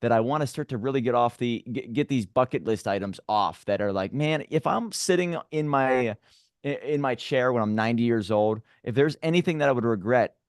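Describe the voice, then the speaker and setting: A man in his late thirties, hosting a podcast, who talks in an animated, emphatic way and swears casually. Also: medium pitch, fairly quick, no echo, studio quality. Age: 30s. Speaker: podcast host. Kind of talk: casual interview talk.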